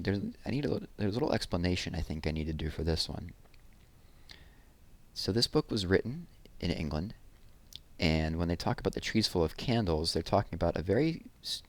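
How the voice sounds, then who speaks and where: Low-voiced man reading to children. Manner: measured, expressive verse reading, explains things simply to young listeners. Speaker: read-aloud host. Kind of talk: reading children's poems aloud with casual asides.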